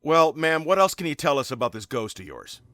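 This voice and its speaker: A man's voice: a little high, big pitch swings, brisk, pushed and bright. A man acting a brash, cocky, wisecracking muscle man of a ghost-hunting team in an audio drama. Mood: cocky, joking. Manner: cocky, joking, punchy.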